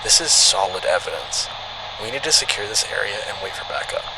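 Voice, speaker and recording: A man acting as a steady, stubborn park ranger in a horror drama, matter-of-fact and insistent. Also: fairly low pitch, a little fast, background noise. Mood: insistent, reasoning.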